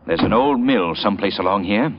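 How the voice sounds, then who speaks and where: An actor playing a mysterious, commanding crime fighter with urgent, dramatic delivery in old radio drama style. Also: male voice, lowish voice, lively intonation, a little fast, background noise, natural voice. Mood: grave, determined. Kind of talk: 1930s-40s radio drama acting. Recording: muffled vintage radio recording.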